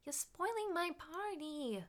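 A woman playing a drunken, spiteful, party-loving wife in melodramatic radio horror style, with a shrill, petulant delivery. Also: expressive, slow pace, crowd noise, exaggerated voice. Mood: petulant, defiant.

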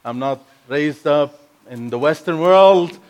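Energetic man with an Egyptian accent. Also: a little high, slow, dull audio. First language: Arabic. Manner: energetic and playful, with bursts of emphasis. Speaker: guest speaker. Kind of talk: sermon.

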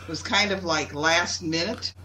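A woman with a bright, slightly low voice talks casually and haltingly. She rambles as she goes. She sounds distant from the mic, with faint noise around her. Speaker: club participant. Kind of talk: casual chat.

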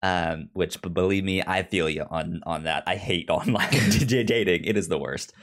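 A man with a fairly low voice talks casually as a podcast host reviewing entertainment. His speech stammers, often catching on a syllable or word and repeating it before moving on. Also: moderate pace.

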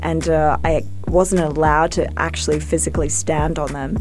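A woman from Australia answers interview questions in an earnest, reflective way, laughing now and then. Her voice sits on the low side. Faint music plays beneath her. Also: fairly even intonation, normal speed. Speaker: guest.

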